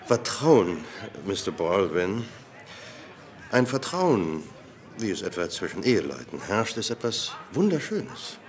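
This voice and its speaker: An actor playing a tipsy, theatrical admirer in a radio drama, declaiming in a florid manner. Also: male voice, on the low side, sing-song, a little slow. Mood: grandiloquent.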